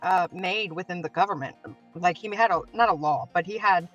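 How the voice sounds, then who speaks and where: A co-host chiming in quickly with short agreeing remarks on a livestream. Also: medium pitch, fairly bright tone, recorded from a distance, highs cut off, soft background music. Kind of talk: casual chat.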